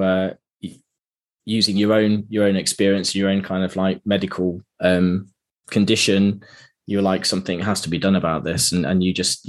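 A woman hosting a casual interview, asking questions in a halting way with many restarts and fillers. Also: a bass voice, a little mellow. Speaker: podcast host.

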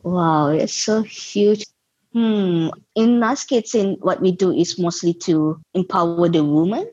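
A woman's voice: on the low side, slow pace, darkish tone, husky, dry recording. A young Malaysian woman with a Malaysian accent, a guest founder answering interview questions thoughtfully and searching for words. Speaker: guest, social enterprise founder. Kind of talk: interview conversation. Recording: dull lo-fi sound.

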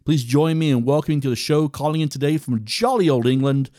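A man's voice: fairly high pitch, lively intonation, fairly quick, mellow, dry recording, clean studio sound. A man hosting a podcast, giving emphatic, enthusiastic introductions and asking interview questions. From Wales. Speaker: podcast host.

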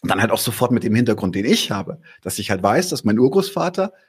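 A man's voice: fairly low pitch, very animated, a little fast, husky. A man hosting an interview, thinking aloud in a hesitant, reflective way with many fillers. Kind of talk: conversational interview.

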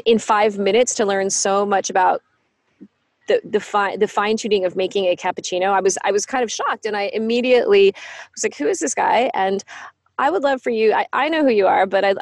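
A woman hosting a podcast interview, enthusiastic and halting, often restarting her sentences. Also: medium pitch, creaky, dry recording. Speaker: podcast host.

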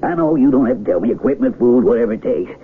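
An actor playing a folksy, blustery rich American tourist husband with an American accent in a 1970s radio drama. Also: male voice, medium pitch, lively intonation, quick pace, close to an everyday voice. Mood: hearty, boastful. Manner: hearty, folksy. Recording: tinny, noisy old radio recording.

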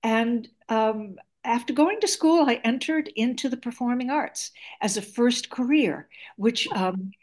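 A woman's voice: on the high side, a little slow, a little mellow. A woman in her seventies whose first language is Greek, telling her life story in an interview in an articulate, reflective way. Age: 70s. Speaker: guest author.

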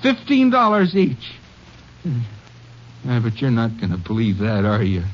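A man's voice: medium pitch, wildly swooping pitch, unhurried. An actor playing a desperate, impoverished father who stammers and pleads his innocence in an old-time radio drama. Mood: despairing. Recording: muffled vintage radio recording.

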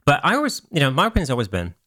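Lively German-born man hosting a podcast. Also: medium pitch, racing. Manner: animated, laughs often, trailing restarts. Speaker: podcast host. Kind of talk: casual podcast chat.

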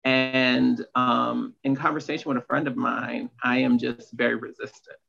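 A man's voice: medium pitch, little pitch movement, moderate pace, creaky. A man in his late thirties speaking candidly as a podcast guest, confiding and emphatic, on slightly muffled audio. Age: late 30s. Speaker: podcast guest, empowerment coach. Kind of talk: candid personal conversation.

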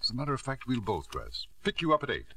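Bright-voiced, animated husband. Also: male voice, mid-range voice, fast, exaggerated voice. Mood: flirtatious, halting. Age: middle-aged. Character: wealthy, controlling middle-aged knighted husband, smooth and self-justifying. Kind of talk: 1950s radio drama acting with first-person narration. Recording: vintage 1950s radio broadcast.

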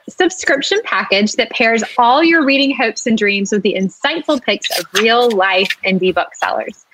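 A woman's voice: on the high side, fairly bright tone, no room sound, low-quality recording. A woman co-hosting a book show in a light, presentational style. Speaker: author and show co-host. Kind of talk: light presentational chat with sponsor reads.

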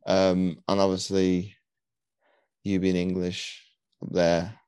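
Deep voiced guy hosting a podcast, dry close sound, rambles and trips over words. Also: completely flat delivery, slow pace, dark tone, smooth, no room sound. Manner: rambling, searches for words, many restarts. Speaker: podcast host. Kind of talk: casual interview chat.

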